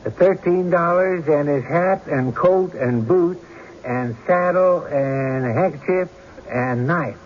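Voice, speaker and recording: An actor playing a hesitant, grudging country card player on the witness stand, halting and folksy, in a radio drama. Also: male voice, on the high side. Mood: guarded. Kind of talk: 1970s radio drama acting. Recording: muffled, noisy old radio broadcast.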